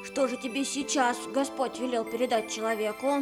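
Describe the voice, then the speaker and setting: A woman as high-voiced, haughty little dust speck. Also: fairly even intonation, a little fast, close to an everyday voice. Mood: prying, haughty. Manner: taunting, boastful. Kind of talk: children's fairy-tale audio play. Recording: music behind the voice.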